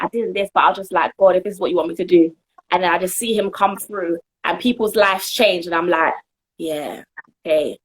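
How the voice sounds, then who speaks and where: A woman's voice: mid-range voice, husky, rough recording. A young woman from Jamaica, a guest in a casual interview, answering earnestly with frequent laughs and restarts. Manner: earnest and giggly, trails off and restarts.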